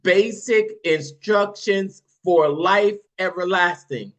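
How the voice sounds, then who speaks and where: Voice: mid-range voice, a bit bright. A host leading a sales practice video call, encouraging and affirming the others. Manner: encouraging, affirming, drawn-out delivery. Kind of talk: casual group call.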